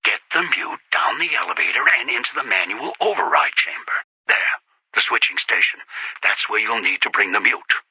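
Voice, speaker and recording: A cold, scheming old man in a video game. The voice is low and raspy with a hard, forceful edge, captured very dry. Each order comes clipped and deliberate, full of controlled threat. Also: expressive, dry recording, full character voice. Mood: terse, instructing. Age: older.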